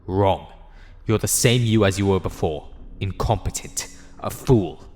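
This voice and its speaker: A man's voice: deep voice, a little slow, darkish tone, long reverb tail. A man voicing a stern, disembodied voice in someone's head for an audio drama, cold, logical and accusing. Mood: scornful.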